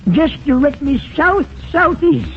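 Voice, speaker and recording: A man's voice: extremely high pitch, slow pace, over loud music, full character voice. An actor playing an apologetic, weary older male waiter, deferential and hesitant, in old radio comedy style. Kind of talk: 1940s radio comedy acting. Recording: muffled, very noisy old radio recording.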